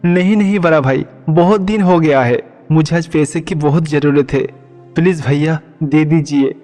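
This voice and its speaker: A man's voice: a little high, moderate pace, warm tone, lightly acted. An actor playing a meek, devout and naive village milkman, speaking politely and earnestly in a cartoon story. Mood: pleading. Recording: long reverb, music behind.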